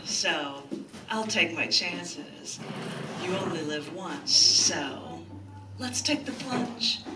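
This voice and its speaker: Lady doing a poetry open mic, kinda low voice, halting and informal, lots of room noise. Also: lively intonation. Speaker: poet hosting an open mic. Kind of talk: live poetry reading with casual asides to the audience. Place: indoors in a large bookstore, live event. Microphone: picked up from a distance. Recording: roomy, distant, noisy live recording.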